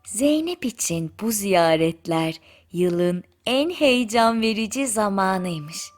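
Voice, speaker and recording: Female bedtime narrator, higher-pitched, unhurried, soothing children's fairy-tale delivery. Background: music.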